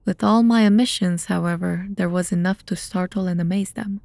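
A woman's voice: fairly low pitch, steady tone, fairly quick, vocal fry, a little mellow, no room sound, clean studio sound. A woman reading scripted narration for an audio drama as its narrator. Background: music.

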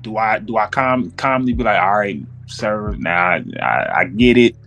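A man's voice: lowish voice, expressive, normal speed, fairly bright tone, faint music behind. Questioning man on a casual podcast. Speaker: podcast speaker.

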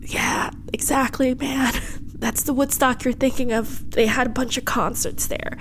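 A woman voicing a laid-back, mellow twelve-year-old male goat who keeps calling people "man". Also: high-pitched, fairly even intonation, unhurried, in a whisper. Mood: chill. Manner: mellow, says "man" a lot. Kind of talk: improvised comedy.

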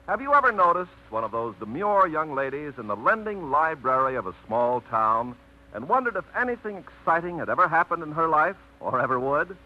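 A man's voice: on the high side, very animated, brisk. Ceremonious radio announcer, a man. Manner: smooth, ceremonious. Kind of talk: radio show introduction. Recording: dull old radio broadcast with music.

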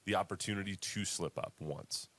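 A man's voice: low-pitched, moderate pace, fairly bright tone. A man in his twenties co-hosting a casual sports podcast, rambling and laughing often. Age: 20s. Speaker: podcast co-host.

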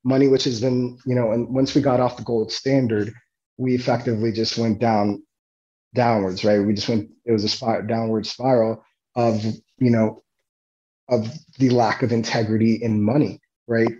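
A man guest in a casual podcast conversation, talking in halting bursts with many restarts. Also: medium pitch, level tone, warm tone, muffled recording.

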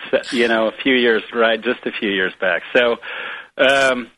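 A man's voice: medium pitch, a little slow, bright, forceful tone. A middle-aged man from Romania with a Romanian accent, speaking as an interview guest, hesitant with many fillers and restarts. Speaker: guest, foundation president. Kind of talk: casual interview conversation. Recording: tinny phone line, dry.

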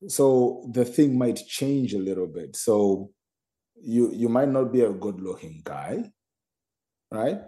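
Halting low-voiced man co-hosting. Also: animated, warm tone. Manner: halting, repeats words before making a point. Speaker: podcast co-host. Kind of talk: casual chat.

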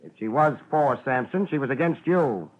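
A man's voice: mid-range voice, animated, normal speed, lo-fi sound. A man playing a tough, laid-back private detective, laconic and wry, in a 1950s radio crime drama. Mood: tough, firm. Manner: wry, hard-boiled, laconic. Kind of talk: 1950s radio drama acting with first-person narration. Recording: old 1950s radio recording.

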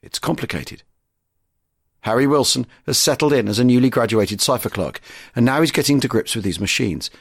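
A man's voice: medium pitch, brisk, gravelly, slightly rough, no echo, studio quality. A man narrating a scripted history programme in a dramatic, explanatory manner. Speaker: podcast narrator.

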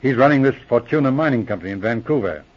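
An actor playing a calm, methodical senior police inspector in 1940s radio drama, explaining things with measured authority. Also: male voice, mid-range voice, slightly rough. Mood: methodical, confiding. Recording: dull, muffled old radio recording.

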